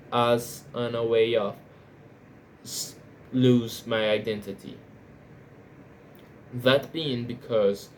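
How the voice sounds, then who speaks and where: Brazilian man, slow and careful, stumbling over restarts, faint background hiss. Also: medium pitch. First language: Portuguese. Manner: halting, repeats words and restarts sentences. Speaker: language learner vlogger. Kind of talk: unscripted monologue.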